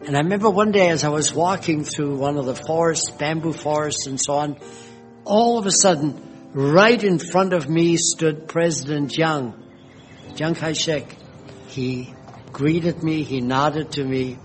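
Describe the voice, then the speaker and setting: Older guy, slow gentle storytelling, kinda high voice, faint music behind. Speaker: retired missionary. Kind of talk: reminiscing personal history.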